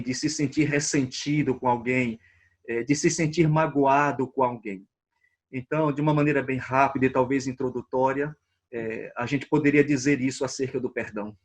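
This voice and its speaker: A man, a pastor, explaining ideas in a measured way and restating his terms in a panel discussion. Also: mid-range voice.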